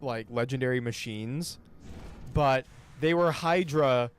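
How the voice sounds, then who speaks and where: A man streaming a game, chatting in a relaxed, playful way and laughing easily. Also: mid-range voice, takes it slowly, smooth. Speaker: streamer, host. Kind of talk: casual chat while streaming a game.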